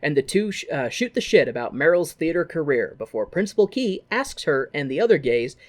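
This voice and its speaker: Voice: medium pitch, very animated, brisk. Podcast co-host, casual comedic recap, sweary, acts out mocking quotes.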